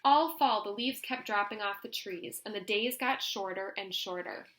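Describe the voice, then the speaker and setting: A woman teacher reading a children's story aloud in an expressive, instructive way, pausing to put questions to listeners. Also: mid-range voice, noisy background.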